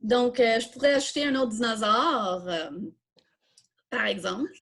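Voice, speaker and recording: A woman's voice: on the high side, animated, measured pace, gravelly, a bit bright. A woman with a Quebec French accent hosting a live online coding workshop, informally thinking aloud and answering questions as she demonstrates. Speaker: workshop host.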